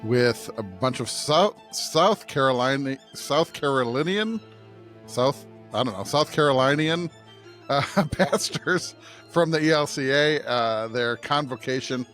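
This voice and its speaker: A man's voice: mid-range voice, unhurried, a bit bright, soft background music. A man co-hosting a church podcast in casual, conversational talk. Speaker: podcast co-host and pastor. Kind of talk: casual chat.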